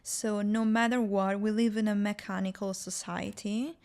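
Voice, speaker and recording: Young woman, Italian accent, gravelly creak, narrow pitch movement, reflective interview guest. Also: little pitch movement, moderate pace, a little mellow. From Italy. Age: young adult. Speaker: podcast guest, student.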